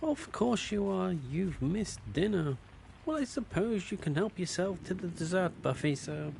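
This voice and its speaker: Prim butler with creaky high voice. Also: male voice, expressive, a little slow, darkish tone, slight background noise, full character voice. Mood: dry, formal. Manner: formal, droll. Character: prim, dry, deferential butler of a manor.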